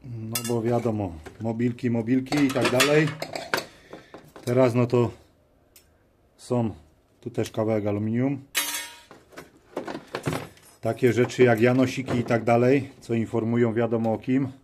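Slow-talking man, clattery workshop. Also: medium pitch, steady tone. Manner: musing aloud in short, halting phrases. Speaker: host of a DIY teardown video. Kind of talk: casual hands-on commentary while working. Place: workshop at a cluttered metal bench. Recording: noisy workbench with clicks and clatter. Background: tool clicks and metallic clatter.

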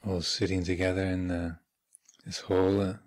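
Low-voiced man guiding a meditation. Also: flat delivery. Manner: measured, hesitant pauses, gentle instructional phrasing. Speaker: Buddhist monk and meditation teacher leading a retreat. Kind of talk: guided meditation instruction. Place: meditation hall at a retreat center.

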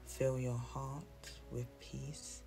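A meditation guide speaks extremely slowly in a soothing, hushed way, with a creaky edge to the voice. The delivery is even and deliberate, leaving long pauses, and instrumental music plays behind it. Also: medium pitch, rough recording. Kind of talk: guided meditation, scripted narration. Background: soft instrumental music.

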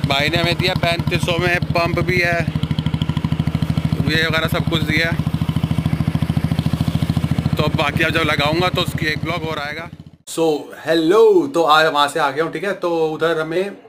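Young male vlogger, chatty, slightly high voice, phone held at arm's length, faint hiss behind. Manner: chatty, repeats "theek hai" as a filler. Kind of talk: casual vlog chat. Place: small indoor room. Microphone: phone camera at arm's length. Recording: noisy street while riding.

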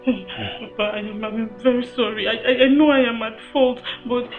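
A woman's voice: high voice, takes it slowly, phone-quality sound, faint music behind. An actress with a Nigerian accent playing a desperate, remorseful woman in a film drama, pleading and repeating herself. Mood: tearful remorse. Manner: pleading, repetitive. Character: desperate, remorseful woman begging a man to marry her. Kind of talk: melodramatic film acting.

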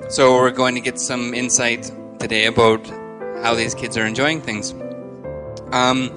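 A man in his thirties hosting a live interview on a handheld microphone, speaking haltingly with frequent pauses. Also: mid-range voice, little pitch movement, music in the background. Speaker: host. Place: indoor stage with curtain.

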